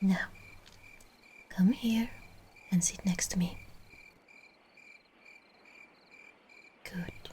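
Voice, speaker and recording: A woman playing a gentle, doting aristocratic female vampire in an ASMR roleplay, whispering softly in a coaxing, genteel manner. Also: mid-range voice, slight background noise. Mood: soothing, coaxing.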